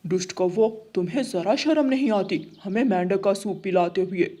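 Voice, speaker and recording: A woman's voice: mid-range voice, normal speed, mellow, natural voice. A woman voicing a sober, stern male pigeon in a children's cartoon story. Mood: scolding.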